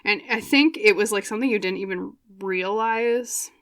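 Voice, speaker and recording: A woman's voice: medium pitch, fairly bright tone. A young woman casually talking through a tarot reading, chatty and full of fillers, her voice getting a bit scratchy. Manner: chatty, thinks aloud with many fillers and quick laughs. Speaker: tarot reader.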